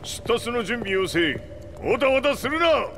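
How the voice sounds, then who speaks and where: A man's voice: extremely high pitch, big pitch swings, a little fast, exaggerated voice. An actor playing a proud, domineering old male warlord who speaks in archaic, commanding Japanese, in a video game cutscene. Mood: scornful, impatient. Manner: archaic, imperious old-man speech, commanding. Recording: noisy game scene ambience.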